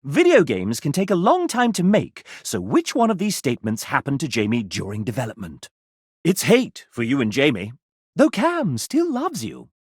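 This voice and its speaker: Flamboyant, sassy gentleman narrator, wildly swooping. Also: medium pitch, huge pitch swings, normal speed, breathy edge, dry recording, studio quality. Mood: mock-regretful, cutting. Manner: flamboyant, arch, mock-genteel, sardonic. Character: sassy, theatrical gentleman game-show style narrator of a dating game. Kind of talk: theatrical scripted video game narration.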